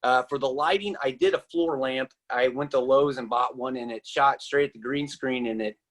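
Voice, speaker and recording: A man on a webinar video call, answering as a video creator in a relaxed, rambling way. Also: medium pitch, normal speed. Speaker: panel guest, video creator. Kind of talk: casual panel answer.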